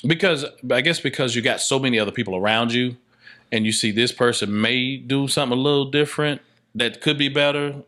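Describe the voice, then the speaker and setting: A man's voice: mid-range voice, a little fast, a bit bright. A man speaking as a filmmaker guest in a casual interview, often repeating words as he reasons things out. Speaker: podcast guest, filmmaker and stage play director.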